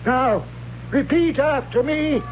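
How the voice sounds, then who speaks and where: High-pitched, stern old-time radio priest. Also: male voice, lo-fi sound, a little hiss or hum. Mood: stern, solemn. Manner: slow, solemn, commanding recitation. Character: stern high priest, father of a hapless son. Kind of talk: 1970s radio drama acting. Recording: band-limited old radio broadcast, music bed.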